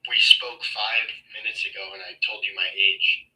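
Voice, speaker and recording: A man's voice: on the low side, fairly even intonation, moderate pace, pushed and bright, recorded from a distance, low-quality recording. A man in his twenties, a law student in an online debate, defensive and combative, mumbling his words. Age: 20s. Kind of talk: casual heated online debate.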